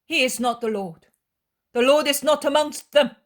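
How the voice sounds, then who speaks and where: A woman's voice: high-pitched, normal speed, pitched above the performer's own voice, lightly acted. A woman voicing a young prophetess who answers firmly and defiantly. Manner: firm, defiant.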